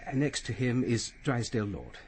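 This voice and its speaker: An actor playing a formal, pompous male barrister in a radio crime drama, with an English accent and a halting delivery. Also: medium pitch, sing-song, darkish tone, husky. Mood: formal, uneasy.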